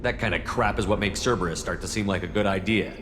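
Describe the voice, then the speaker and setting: An actor playing a direct, probing human military commander in video game dialogue. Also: male voice, low voice, fast talker, noisy background, close to an everyday voice. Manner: direct, questioning.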